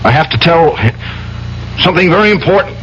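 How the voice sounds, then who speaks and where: Actor playing an urgent lawyer, muffled old radio sound. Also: male voice, fairly high pitch, expressive, fairly quick, natural voice. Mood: urgent, frantic. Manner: urgent, pleading, agitated. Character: anxious, urgent defense lawyer, earnest and insistent. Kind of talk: 1970s radio drama acting. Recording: dull, noisy vintage radio drama recording.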